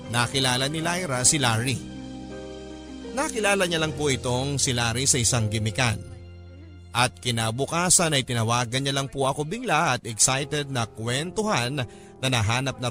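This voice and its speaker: A man with a Filipino accent narrating a radio drama in a polite, measured storytelling manner. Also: medium pitch, close to an everyday voice. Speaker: narrator reading a letter-writer's story. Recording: music bed under the voice.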